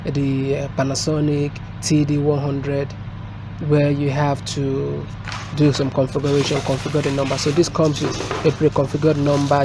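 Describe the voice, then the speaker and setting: Halting, flat-toned man over noisy background. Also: fairly high pitch. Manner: halting, step-by-step explaining. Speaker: technician presenting a product installation. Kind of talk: unscripted hands-on tutorial and review. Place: indoors at a desk, filmed from above. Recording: noisy room with street and vehicle sounds.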